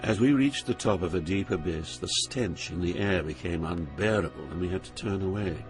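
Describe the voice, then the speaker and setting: An actor playing an awed poet who recounts his journey through Hell in measured, literary dramatic narration. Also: sits low, soft background music, lightly acted. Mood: somber. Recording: loud ambient sound effects behind narration.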